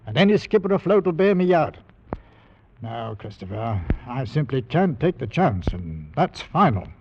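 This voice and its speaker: A man's voice: mid-range voice, big pitch swings, normal speed, gravelly, highs cut off, noisy background. An actor playing a middle-aged, stern but fatherly ship's captain in 1940s radio style, grave and authoritative, on a vintage recording. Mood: firm, grave.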